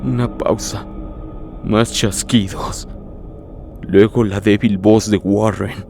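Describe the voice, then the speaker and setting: Male narrator for horror audiobook: lowish voice, grave literary delivery, deliberate phrasing, music bed underneath. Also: fairly even intonation, vocal fry. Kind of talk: dramatic first-person scripted narration. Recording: prominent music behind the voice.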